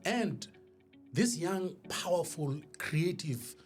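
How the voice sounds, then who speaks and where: A South African man speaking as a podcast guest, a professional speaker telling his story in a deliberate, emphatic, oratorical way. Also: a little high, animated. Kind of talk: motivational storytelling in an interview. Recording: music behind the voice. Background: music.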